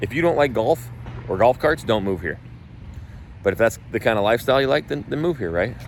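A man's voice: mid-range voice, lively intonation, brisk, slight background noise. A man from North Idaho who coaches real estate agents, talking casually in a blunt, emphatic way and swearing freely. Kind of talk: casual talk.

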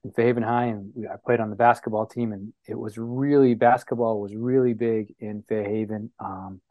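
A man from New Bedford, Massachusetts, a guest on an interview show, recounting his past reflectively with many pauses and fillers. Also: fairly low pitch, fairly even intonation, no room sound, phone-quality sound.

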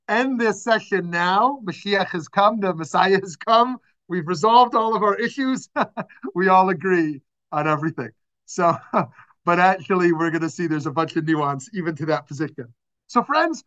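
A man's voice: high-pitched, fairly bright tone. A man, a rabbi, teaching a class over a video call, talking conversationally, putting questions to the group and laughing often. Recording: dull lo-fi video call audio.

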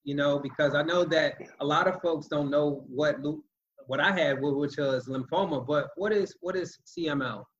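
A man's voice: fairly high pitch, normal speed, lo-fi sound. A man hosting a casual interview, talking in a friendly, loose way and often repeating words. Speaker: interview host. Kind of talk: casual interview over a call.

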